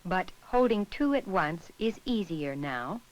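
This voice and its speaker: Female narrator, lowish register, for an educational documentary. Scripted, measured, instructional delivery. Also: expressive, rough recording.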